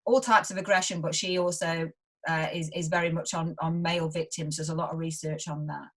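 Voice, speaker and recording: Lively woman researcher. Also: low-pitched. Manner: animated, quick to laugh, acts out quotes. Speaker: guest researcher. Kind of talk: casual interview chat.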